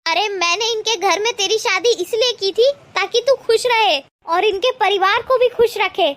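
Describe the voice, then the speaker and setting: A woman voicing indignant women of a family, mother and daughter, in an animated moral story, reproachful and dramatic. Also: extremely high pitch, moderate pace, no room sound. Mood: ashamed, scolding. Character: protective, indignant mother-in-law and her young married daughter.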